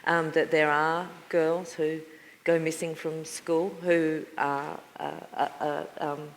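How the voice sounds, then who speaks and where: Low-voiced woman, thoughtful. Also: little pitch movement, slow, a little hiss or hum. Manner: thoughtful, hesitant with many fillers. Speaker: panelist. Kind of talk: panel discussion. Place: university lecture hall. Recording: reverberant lecture hall.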